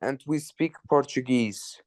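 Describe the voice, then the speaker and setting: A man's voice: medium pitch, darkish tone, no echo, studio quality. A man taking part in a language class as a student, hesitantly reading aloud and translating. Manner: hesitant, halting, unsure.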